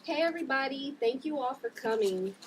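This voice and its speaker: A woman's voice: high voice, lively intonation, a little fast, far from the mic. A woman reading the part of a caring, exasperated sister who works as a TV producer, in a family drama table read. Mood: warm, playful. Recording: noisy room, off the mic.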